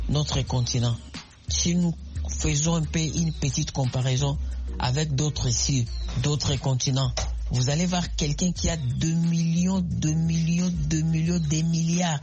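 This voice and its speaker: A man's voice: a little high, a little mellow. A young man from Congo speaking French with a Congolese accent, calling in to a radio show and making an oratorical argument full of rhetorical questions and repetition. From Congo (DRC). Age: young adult. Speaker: radio call-in contributor. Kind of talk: impassioned opinion on radio call-in.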